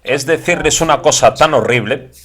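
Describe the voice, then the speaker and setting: A man's voice: fairly high pitch, moderate pace, a bit bright. A man narrating the translation of a lecture as a voice-over.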